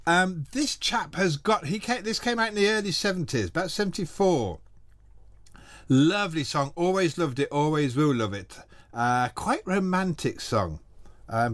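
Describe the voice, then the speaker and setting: A man hosts a radio music show from a small studio, talking loosely and cheerfully between records. His voice sits a little high for a man and is picked up close and dry on the studio microphone. He rambles, corrects himself and laughs at his own remarks. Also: no room sound. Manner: chatty, rambling, self-deprecating, laughs often. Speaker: radio presenter. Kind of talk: casual radio chat between songs.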